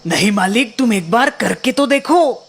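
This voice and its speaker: A woman voicing a timid, servile ghost in an animated story, deferential and pleading. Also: fairly high pitch, measured pace, over loud music. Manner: deferential, pleading, stammering when scared. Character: timid, servile ghost offering magic gifts. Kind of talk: animated moral story acting.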